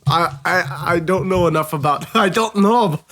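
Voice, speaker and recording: A man's voice: sits high, moderate pace, a bit bright. A young man guesting on a comedy podcast, giggling and fumbling through a mock accent. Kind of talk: improvised comedy podcast banter.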